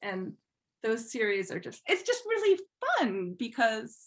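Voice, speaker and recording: A woman chatting casually as an interview guest, thinking aloud in an informal, halting way. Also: fairly high pitch, very animated. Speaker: podcast guest, fan fiction writer.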